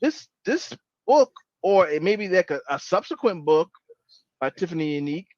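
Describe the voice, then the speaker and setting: A man on a book club panel, talking in an animated way with halting restarts and playful retellings. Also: high-pitched, no room sound. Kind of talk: casual panel discussion. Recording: dull, dry lo-fi sound.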